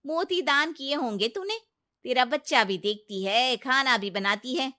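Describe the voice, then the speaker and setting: A woman voicing a loud, gossipy neighbour auntie in a cartoon story. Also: sits high, measured pace, dry recording, clean studio sound, voice pushed up. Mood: gushing. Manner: loud, gushing, teasing.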